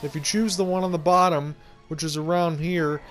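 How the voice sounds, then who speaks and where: Man, high-pitched voice, loose rambling gameplay commentary, faint music behind. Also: steady tone, normal speed, a bit bright. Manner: rambling, conversational explaining. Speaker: game video creator. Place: home room, indoors.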